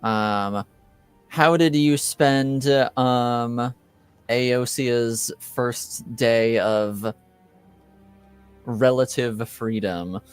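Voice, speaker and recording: A man's voice: mid-range voice, drawn-out words, clean tone, soft background music. A man working as game master, narrating and moderating a tabletop roleplaying game.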